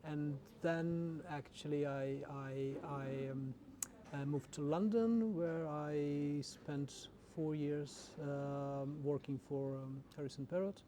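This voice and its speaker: A man who grew up in the Czech Republic, an orchestra's general manager, answering interview questions in a halting way with many repeated words. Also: a little high, fairly even intonation, dark tone. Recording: noisy background.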